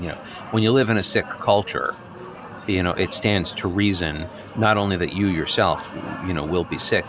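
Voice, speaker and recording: A man playing an earnest, neurotic, self-absorbed friend in an improvised radio comedy, halting and over-explaining. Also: deep voice. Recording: clearly noisy background ambience.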